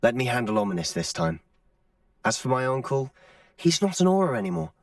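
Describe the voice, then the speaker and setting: A man's voice: mid-range voice, sing-song, fairly quick, dry recording, studio quality, lightly acted. A young man acting a headstrong, impatient teenage wizard in a video game, intense and sardonic. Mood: determined. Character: headstrong, frustrated teenage schoolboy wizard, protective brother.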